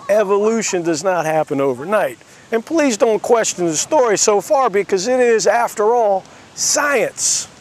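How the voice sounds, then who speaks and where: A man with a high-pitched voice narrates a satirical tale. He explains things with mock innocence and sarcasm. There is faint background noise, as if recorded outdoors.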